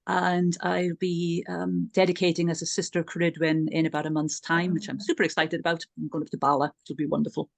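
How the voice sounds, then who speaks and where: A woman introduces herself cheerfully. Her lowish voice is gravelly, and the sound is dry and close. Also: flat delivery, a little fast, hardly any pauses, no room sound. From Wales.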